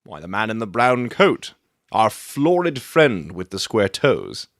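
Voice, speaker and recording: A man playing a self-assured gentleman detective in a dramatic audiobook, theatrical and articulate as he expounds his deductions. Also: lowish voice, sing-song, measured pace, no room sound, clean studio sound. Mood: confident, explaining.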